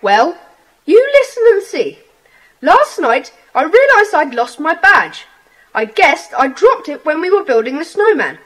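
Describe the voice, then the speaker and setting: A woman playing an earnest, adventurous British schoolboy in a children's audio drama, speaking in an animated way. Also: high-pitched, a little slow, heavily acted, poor audio. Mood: earnest, intrigued. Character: earnest, adventurous schoolboy telling his friends things.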